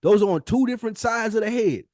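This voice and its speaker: A higher-pitched American man argues his case in a casual, heated debate. He is emphatic and slangy, pressing each point like a lecture. The sound is dry and close. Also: fast, darkish tone, no echo. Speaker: podcast co-host.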